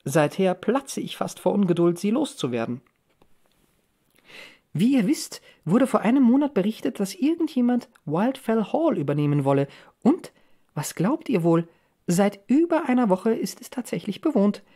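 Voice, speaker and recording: An excited young woman played by a female audiobook reader shares village gossip. She is chatty and emphatic, her pitch lifted and bursting with eagerness to tell. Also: normal speed. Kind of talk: audiobook character dialogue.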